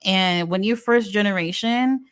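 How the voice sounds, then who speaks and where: A woman's voice: medium pitch, measured pace, fairly bright tone, clean tone. A woman in her thirties, born in America with Haitian Creole as her first language, chatting candidly as a show host. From United States. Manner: candid, chatty storytelling with frequent fillers. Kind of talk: casual chat.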